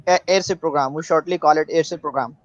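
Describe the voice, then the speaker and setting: A man from Pakistan practicing English in an online group, speaking haltingly with many fillers over a video call. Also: a little high, dry recording. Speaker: participant in conversation group. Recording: dry, dull lo-fi call audio.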